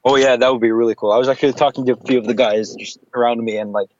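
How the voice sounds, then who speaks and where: A man's voice: mid-range voice, fairly even intonation, rapid-fire, dull audio, slight background noise. A man talking casually as a podcast guest, rambling with many fillers.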